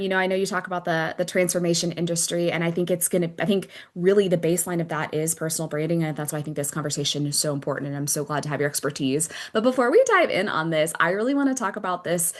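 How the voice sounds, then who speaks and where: A woman podcast host with a slightly low voice. She speaks as an interviewer, presenting prepared material and talking conversationally with a guest. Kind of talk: scripted introduction and conversational interviewing.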